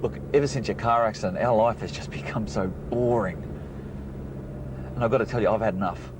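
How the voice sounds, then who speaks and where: A man acts out a frustrated Australian husband in an educational drama. His voice sits on the low side and speaks like an everyday partner at the end of his patience. There is clear vehicle noise behind him. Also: fairly quick, natural voice. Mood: exasperated, fed up. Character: frustrated husband fed up with his anxious wife. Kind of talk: acted dramatisation in an educational film.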